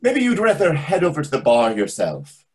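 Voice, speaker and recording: A man plays a condescending waiter in readers theatre. His voice is very husky, with animated, sarcastic intonation and a prickly, passive-aggressive politeness. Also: on the high side, moderate pace, heavily acted. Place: indoors on a video call.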